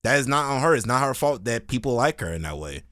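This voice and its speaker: A man's voice: mid-range voice, big pitch swings, fast talker, bright, forceful tone, no room sound, studio quality. An American man giving casual reaction commentary in a slangy, opinionated, rambling way. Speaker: reaction video host.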